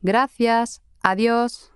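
An actress playing a friendly young woman in a slow, scripted dialogue for language learners. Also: a little high, fairly even intonation, no echo, studio quality. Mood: careful. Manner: clear, careful friendly delivery.

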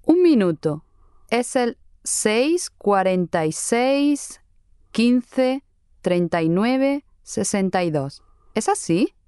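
An actress playing a friendly young woman in a slow, scripted dialogue for language learners. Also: fairly high pitch, sing-song, dark tone, dry recording, studio quality. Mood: careful. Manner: clear, careful friendly delivery.

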